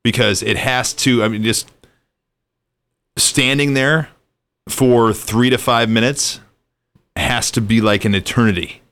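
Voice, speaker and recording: A man's voice: medium pitch, steady tone, unhurried, long pauses, a bit bright. A man hosting a casual conversation, easygoing and thoughtful as he talks. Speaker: podcast host.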